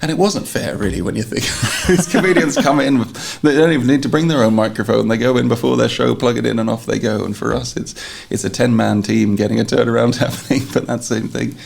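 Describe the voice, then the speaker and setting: A man's voice: mid-range voice, steady tone, creaky. A man chatting casually in an interview, laughing often and tripping over his words. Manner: quick, laughing, stumbles and repeats words. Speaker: performer and show director. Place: outdoors at a festival.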